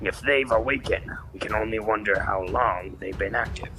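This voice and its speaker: A man voicing a grave heroic robot strategist, speaking in a deliberate, formal way for a comic dub. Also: lowish voice, pushed and bright, soft background music. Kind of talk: dramatic comic-dub voice acting.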